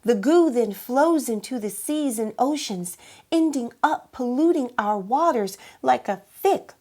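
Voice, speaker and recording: A woman voicing an earnest mermaid who pleads for her oceans in a measured, dramatic rhyming picture-book read-aloud. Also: fairly high pitch, expressive, darkish tone. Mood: grave, insistent.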